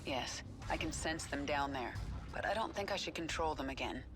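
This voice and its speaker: Terse psychic war heroine. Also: female voice, low-pitched, expressive, normal speed. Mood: wry, reflective. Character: battle-ready psychic heroine, wry and determined. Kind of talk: video game character dialogue and unit responses. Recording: loud game music underneath.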